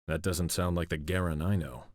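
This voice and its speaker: An actor playing a brooding, grim exiled warrior lord in a video game cutscene. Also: male voice, sits low, flat delivery, moderate pace, dry recording, studio quality, lightly acted. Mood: guarded.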